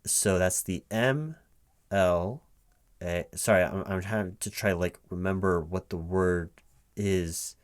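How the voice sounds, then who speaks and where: A man speaking aloud in a one-sided ASMR job interview roleplay as a recruiting manager, halting and repeating himself as if puzzled. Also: low voice. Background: computer keyboard typing.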